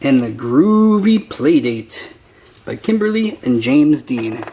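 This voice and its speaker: An adult reading a picture book aloud in an expressive narration style meant for young children. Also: mid-range voice, slow, slight background noise. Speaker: children's storybook reader.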